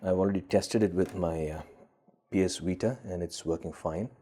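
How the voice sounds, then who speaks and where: A man with a low, dark and slightly husky voice talks through a casual hands-on demo. He sounds hesitant and unscripted, as if thinking aloud while he works. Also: moderate pace. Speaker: gadget collector and video host.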